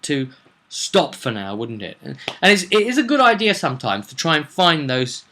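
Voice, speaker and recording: Bright-voiced man rambling about his roleplay game. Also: fairly high pitch, very animated, moderate pace, a little hiss or hum. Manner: chatty, slips into acted quotes of characters. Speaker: game master recounting a tabletop roleplay session. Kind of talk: casual rambling vlog storytelling.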